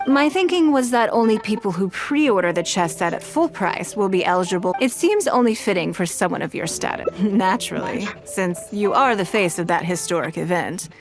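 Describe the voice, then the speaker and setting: An actress playing a poised, shrewd, wealthy businesswoman in a video game, speaking formally and articulately. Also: fairly low pitch. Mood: poised, self-assured.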